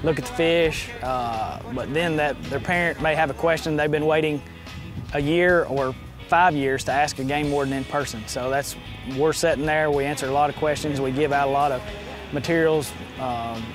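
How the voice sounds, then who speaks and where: Casting: man, 30s, slightly high voice, explaining officer's work in an interview over clear music. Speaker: game warden. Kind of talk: interview talk about outdoor work. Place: outdoors by a parked truck. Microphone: lapel mic. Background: music behind the voice.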